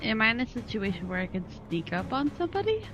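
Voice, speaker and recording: A woman's voice: fairly high pitch, big pitch swings, fast. A woman chatting casually as a player in a tabletop game, playful in her manner. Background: background music.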